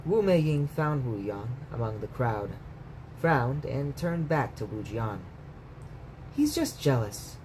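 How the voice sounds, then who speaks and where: A man's voice: medium pitch, animated, slow, darkish tone, poor audio. A man reading a bedtime story aloud as a storyteller, in scripted narration. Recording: clear background noise.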